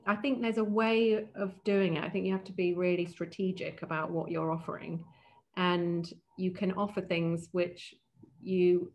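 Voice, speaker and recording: A woman's voice: lowish voice, vocal fry. A woman who works as an opera casting director, talking as a guest on a video call interview, thoughtful and often restarting her sentences. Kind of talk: casual interview talk.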